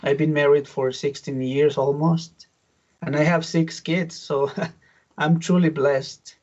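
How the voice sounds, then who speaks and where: A middle-aged man from Mexico with a Mexican Spanish accent, a native Spanish speaker giving his testimony as a pastor in an interview, halting with many restarts. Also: on the high side, level tone, darkish tone, dull audio. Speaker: pastor, guest.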